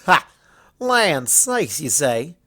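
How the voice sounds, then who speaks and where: A man's voice: fairly high pitch, big pitch swings, drawn-out words, sharp tone, full character voice. Man voicing a stubborn, folksy farm mare. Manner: folksy country drawl phrasing, blunt. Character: stubborn plain-spoken young female farm pony. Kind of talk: storybook fan fiction character voice.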